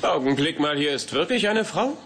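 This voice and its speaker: Flirty show-off nerd guy, highish swoopy voice, cheeky, dubbed sitcom. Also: very animated, moderate pace, a bit bright. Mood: teasing, curious. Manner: suave, boastful, teasing. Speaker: sitcom actor in German dub. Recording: noisy TV soundtrack with ambience.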